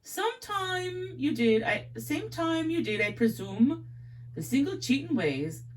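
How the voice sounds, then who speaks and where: A woman's voice: high-pitched, big pitch swings, a little slow, recorded from a distance, voice pushed up. A woman voicing a gossipy, genteel English music promoter in a put-on English accent that she calls poor, read aloud from a novel. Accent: put-on English accent, self-described as poor. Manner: genteel, gossipy, a bit pompous.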